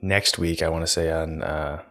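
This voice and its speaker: Podcast co-host: low-voiced young man, steady monotone, soothing casual talk, close mic. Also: moderate pace. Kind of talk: casual chat. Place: small room indoors. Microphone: studio mic on stand, close.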